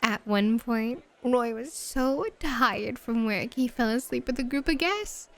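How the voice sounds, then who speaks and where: A woman's voice: fairly high pitch, a little slow. A woman playing a flirty, teasing, self-assured club hostess in an ASMR roleplay. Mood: playfully threatening.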